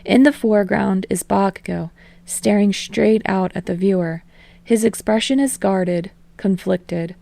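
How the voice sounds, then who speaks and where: A woman narrating a fan-fiction story aloud, in an even, casual reading voice. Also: medium pitch, measured pace, gravelly, no echo. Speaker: podfic reader.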